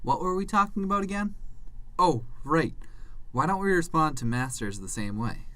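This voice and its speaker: A man voicing an earnest, slightly naive and devoted pet dog in an animated conversation. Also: a little high, normal speed. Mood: curious, reminiscing. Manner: earnest, puzzled, eager.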